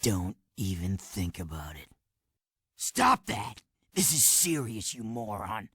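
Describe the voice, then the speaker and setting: An actor playing a hardened, scornful underground resistance commander in a video game, with a low gravelly voice and a curt, commanding manner. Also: male voice, very animated, takes it slowly, pushed and bright, no room sound, clean studio sound, exaggerated voice. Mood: angry. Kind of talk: video game cutscene acting.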